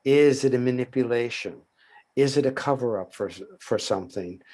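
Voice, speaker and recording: Older man, workshop trainer, calm and explanatory, mid-pitched, on a video call. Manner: measured and explanatory, with soft chuckles. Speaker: workshop presenter and psychotherapy trainer. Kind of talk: teaching answers in a workshop Q&A.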